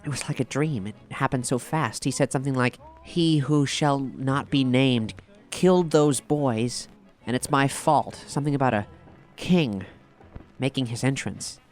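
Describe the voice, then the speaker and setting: A man's voice: mid-range voice, measured pace, close to an everyday voice. A man playing an earnest investigator of strange horrors in an audio drama, with faint music underneath. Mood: dazed, haunted.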